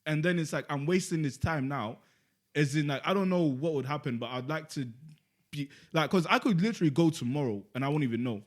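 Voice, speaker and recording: A man's voice: fairly high pitch, fairly quick. A young man, a podcast co-host talking in casual chat, reflective and rambling, with lots of "like" and "you get me". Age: young adult.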